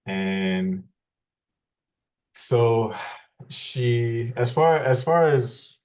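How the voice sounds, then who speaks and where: A man from Louisiana, an interview guest, telling his family history in a measured, explanatory way with frequent restarts. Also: medium pitch, lively intonation, darkish tone. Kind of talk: thoughtful conversational storytelling.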